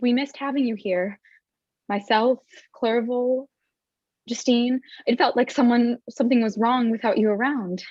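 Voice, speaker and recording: A forthright young sweetheart in a stage drama played by a woman, tender and teasing. The voice is fairly high, with dry, slightly dull sound. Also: fairly even intonation, unhurried, a little mellow, no echo. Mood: concerned, tender. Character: Elizabeth, affectionate, teasing, forthright young fiancee. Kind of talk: staged reading of a period drama.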